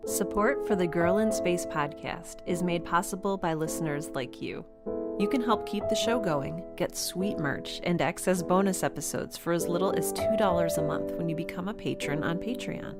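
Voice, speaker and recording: A woman's voice: on the low side, normal speed, loud music behind the voice. A woman with a wry, sarcastic way of talking, hosting and voicing her own audio drama. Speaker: podcast creator and lead voice actor. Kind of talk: audio drama acting and sponsor read.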